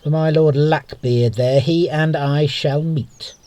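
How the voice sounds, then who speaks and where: An actor playing a proud, stern gentleman soldier in a Shakespearean radio play, speaking gravely and formally. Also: a little high, steady tone, slow pace, no echo. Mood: cold resolute. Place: home recording.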